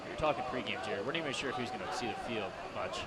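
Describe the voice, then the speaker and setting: A man's voice: lowish voice, animated, fast talker. An American man giving color commentary and analysis during a live lacrosse broadcast. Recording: live game, clear background noise. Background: outdoor game noise.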